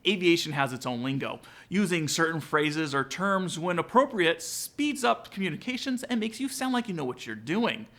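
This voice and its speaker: A man's voice: on the high side, big pitch swings, moderate pace, few pauses, a bit bright. A man narrating a scripted but casual tutorial as a vlog host, speaking directly to viewers. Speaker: aviation vlog host, student pilot. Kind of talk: upbeat scripted tutorial narration with sponsor read.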